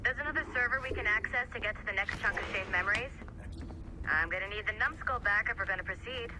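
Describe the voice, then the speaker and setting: Game office worker, brisk and fast-talking, instructive, on a noisy intercom. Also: male voice, sits high. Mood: brisk, preoccupied. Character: brisk, busy office colleague giving instructions. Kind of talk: casual video game dialogue.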